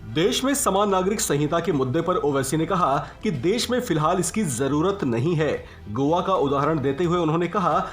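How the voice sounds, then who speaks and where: A man's voice: fairly high pitch, lively intonation, moderate pace. A man delivering a scripted news voice-over as a narrator. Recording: voice-over with faint music bed.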